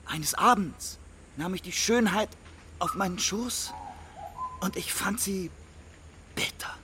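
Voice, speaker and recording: An actor playing a manic, dreamy young man in a German radio drama, halting and theatrical, swinging between whispers and shouts. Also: sits high. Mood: tender, dreamy. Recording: clearly noisy background.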